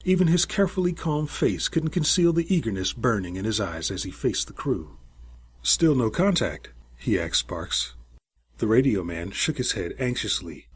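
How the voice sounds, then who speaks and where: A male audiobook narrator with a markedly husky, breathy voice. He reads in a dramatic, suspenseful style, his pitch moving up and down freely to carry the prose. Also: moderate pace. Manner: expressive, suspenseful storytelling. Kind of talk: dramatic scripted story narration.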